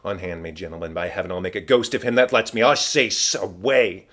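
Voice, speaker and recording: A man's voice: sits low, fairly even intonation, brisk, fairly bright tone. A man voicing a headstrong, desperate young prince with theatrical, emphatic delivery in a dramatic Shakespeare audiobook. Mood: fierce.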